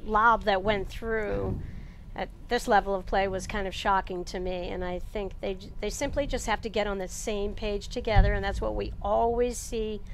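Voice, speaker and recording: A woman's voice: mid-range voice, steady tone, moderate pace, a little hiss or hum. A woman giving confident, analytical color commentary on a tennis match. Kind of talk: sports commentary.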